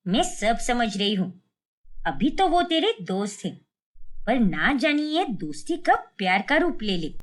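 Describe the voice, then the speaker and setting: Woman voicing a sharp, scolding Indian mother-in-law. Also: fairly high pitch, sing-song, a little slow, pitched below the performer's own voice. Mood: angry, scolding. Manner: sharp, nagging, indignant. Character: short-tempered, scolding middle-aged Indian mother-in-law. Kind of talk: cartoon family drama story.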